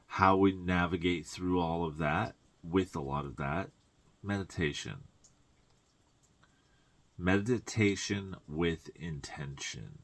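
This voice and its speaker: A man with a very deep voice talks informally as a spiritual guide and tarot reader. His delivery is a reflective coaching monologue, halting in its phrasing and sprinkled with colloquial asides.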